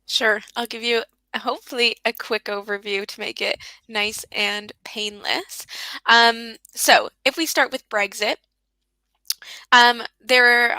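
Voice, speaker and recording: A woman with a fairly high, bright and forceful voice explains compliance rules step by step. Her delivery is clear and methodical, with only the occasional "um" along the way. The sound is close and dry, with no room echo. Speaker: tax compliance guest expert. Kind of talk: explanatory webinar talk.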